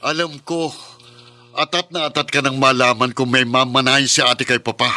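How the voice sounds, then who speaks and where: A man's voice: medium pitch, flat delivery, moderate pace, bright, forceful tone. A man playing a stubborn, vengeful grieving son in a Filipino radio drama, intense and accusing. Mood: furious.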